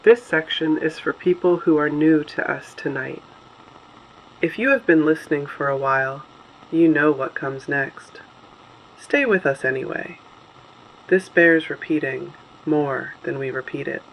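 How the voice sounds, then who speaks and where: A performer playing a grave, steadfast resistance radio broadcaster, speaking solemnly in deliberate short phrases as scripted dystopian narration. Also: mid-range voice, fairly bright tone, a little hiss or hum, rough recording. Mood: grave, steady.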